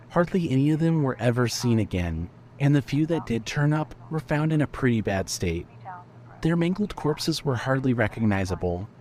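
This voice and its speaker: A man narrating scripted fiction as a storyteller, in a measured manner. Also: mid-range voice, a little mellow.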